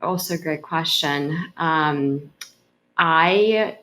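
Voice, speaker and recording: A woman from Ukraine whose first language is Russian, giving earnest interview answers and pausing often as she thinks. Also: low-pitched, a bit bright. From Kharkiv, Ukraine. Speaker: interview guest, healthcare executive.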